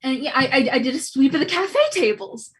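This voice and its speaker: Casting: actress as frazzled young food co-op employee, high voice, very animated, earnest and melodramatic, comedy read. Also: female voice, fairly quick, breathy edge. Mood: distraught confession. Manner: stammering, overwrought. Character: anxious, guilt-ridden young co-op worker, earnest and dramatic. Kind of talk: comedy table read acting.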